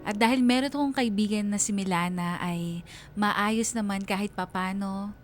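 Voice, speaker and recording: A woman's voice: medium pitch, moderate pace, natural voice. Radio drama narrator: actress as young female writer, wistful first-person storytelling, music underneath. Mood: troubled, confiding. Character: young woman writer telling her own life story, wistful.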